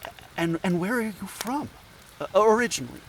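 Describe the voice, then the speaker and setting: A man's voice: sits high, sing-song, a little fast, a little hiss or hum. A man playing an earnest, nervous anthropologist in an audio drama, with a hesitant, stammering way of talking. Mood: curious, hesitant. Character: earnest, nervous male anthropologist, apologetic outsider.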